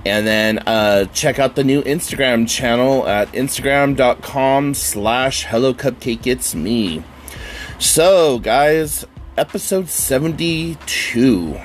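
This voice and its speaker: Male podcast host, stuffed-up and nasal from a cold, unhurried delivery, chatty and rambling with loose fillers and the odd swear. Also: medium pitch, animated, faint music behind. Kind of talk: casual solo podcast chat.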